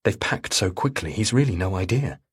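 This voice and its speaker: A man's voice: low-pitched, lively intonation, normal speed, husky. A man reading a thriller audiobook as narrator, in a dramatic scripted style.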